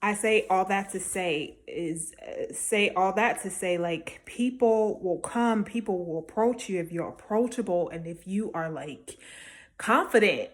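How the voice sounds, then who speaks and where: A woman's voice: mid-range voice, measured pace. A woman talking casually and encouragingly as a small-business vendor giving advice, emphatic and conversational, often checking in with the listener. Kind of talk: casual motivational advice talk to camera.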